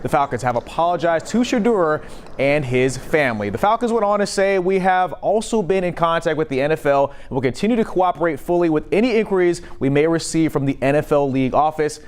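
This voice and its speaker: A male news reporter with a fairly high-pitched voice reads a scripted sports story. The delivery is that of a broadcast report. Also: lively intonation, brisk, smooth.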